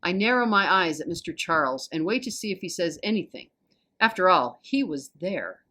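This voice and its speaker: American lady reading a kids book out loud, very animated, slightly low voice. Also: moderate pace. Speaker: volunteer storybook reader. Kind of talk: expressive read-aloud narration of a children's novel.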